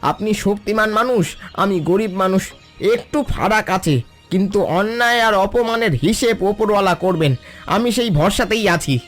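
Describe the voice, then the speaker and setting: A poor but proud fisherman played by a man in a cartoon drama. He speaks high for a man, earnest and pleading over soft music. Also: moderate pace. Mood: wounded defiance. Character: poor, proud, long-suffering fisherman, a father. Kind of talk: scripted cartoon dialogue.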